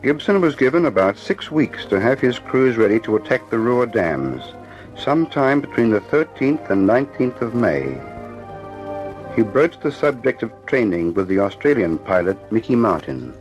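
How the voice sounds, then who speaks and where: A man narrating an old radio drama in a measured, dramatic way. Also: mid-range voice, very animated. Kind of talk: scripted narration. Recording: dull old radio recording with music.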